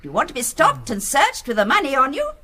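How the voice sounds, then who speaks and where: An actress playing an elderly, genteel gang mastermind in a radio drama, prim, precise and commanding. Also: female voice, high-pitched, wildly swooping pitch, quick pace, pushed and bright, a little hiss or hum, exaggerated voice. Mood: firm, insistent. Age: older.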